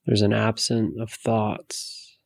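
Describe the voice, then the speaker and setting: A man leading a guided meditation in a soothing, hushed manner, giving gentle instructions with long pauses. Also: fairly low pitch, level tone, vocal fry, no room sound.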